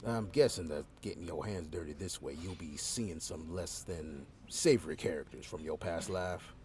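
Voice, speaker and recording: An actor playing a calm, supportive male agent who speaks in a measured, formal, gently wry way in an audio drama. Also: deep voice, a little hiss or hum.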